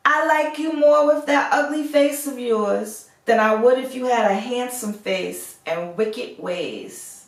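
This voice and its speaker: A brave, honest young storybook heroine , read by a woman, speaks politely and earnestly to reassure. The pace is measured and slow, and the sound is roomy and set back from the microphone. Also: a little high. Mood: earnest, reassuring. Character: brave, kind, honest young daughter, fairy-tale heroine. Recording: roomy echo, distant microphone.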